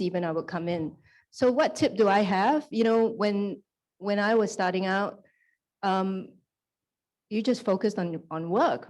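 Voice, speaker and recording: A woman executive shares stories on a panel in an even, medium voice. She pauses often on "um," speaks modestly, and brushes off her own remarks with a light laugh. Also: mid-range voice. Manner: halting, many "um"s, self-deprecating. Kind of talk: panel discussion, career anecdotes.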